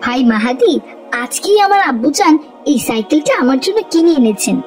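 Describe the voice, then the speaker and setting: A woman's voice: high voice, very animated, brisk, darkish tone, faint music behind. A woman voicing a polite, obedient young schoolboy in a cartoon. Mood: friendly, proud. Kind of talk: cartoon voice acting.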